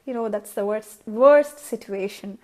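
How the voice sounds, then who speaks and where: A woman's voice: medium pitch, unhurried, darkish tone. A young woman from India with an Indian English accent, whose first language is Tamil, giving casual tips in a vlog and stopping often to act out how words sound. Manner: chatty, repeats words, acts out pronunciations. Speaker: vlogger.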